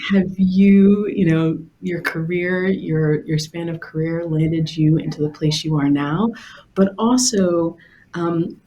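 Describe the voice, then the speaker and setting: A woman's voice: low-pitched, darkish tone, a little hiss or hum, rough recording. A woman speaking as a panel moderator, introducing and telling personal stories in a conversational way.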